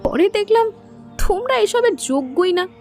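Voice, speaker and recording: An actress playing a defiant, scheming sister-in-law in an audio drama, taunting and threatening. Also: female voice, very high voice, big pitch swings, normal speed, darkish tone, soft background music, full character voice. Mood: bitter, taunting. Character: defiant, cold, scheming married sister-in-law standing up for herself. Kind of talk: audio story drama acting.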